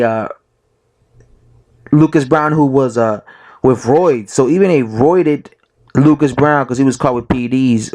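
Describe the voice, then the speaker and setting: A man with a hoarse voice from being sick, giving a casual, rambling opinion monologue as a boxing vlogger, full of fillers and self-corrections. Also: mid-range voice, darkish tone.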